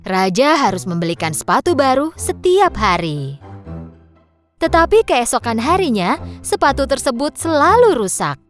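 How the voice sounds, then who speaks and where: Perempuan pendongeng yang ekspresif. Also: female voice, high-pitched, normal speed, soft background music. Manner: expressive, lilting storytelling. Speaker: narrator. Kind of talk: scripted storybook narration.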